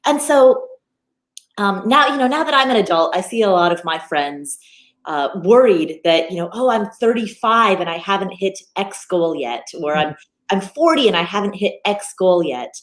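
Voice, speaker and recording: A woman's voice: medium pitch, moderate pace. A woman in her thirties, a finance podcaster from Nepal raised in the United States, talking animatedly as an interview guest with many restarts. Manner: animated, frequent restarts and fillers. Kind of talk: conversational interview. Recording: echoey room.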